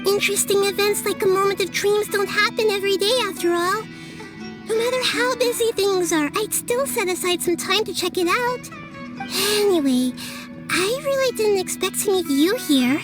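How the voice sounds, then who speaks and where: A woman voicing a small, childlike, gently wise goddess in a video game. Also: very high-pitched, moderate pace. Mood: gentle, thoughtful. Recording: game music behind.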